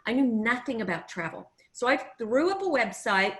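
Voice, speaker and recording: A woman's voice: fairly high pitch, big pitch swings, moderate pace, fairly bright tone. A middle-aged woman from California with an American accent, giving a chatty, upbeat marketing workshop over a video call. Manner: chatty and upbeat, laughs often. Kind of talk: casual webinar presenting.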